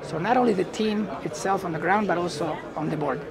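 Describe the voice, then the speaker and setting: Higher-voiced man with a Chilean Spanish accent. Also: brisk, gravelly. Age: middle-aged. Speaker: company chief executive being interviewed. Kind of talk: unscripted business interview. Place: indoor booth at a trade conference. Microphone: lapel mic. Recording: busy event hall chatter behind.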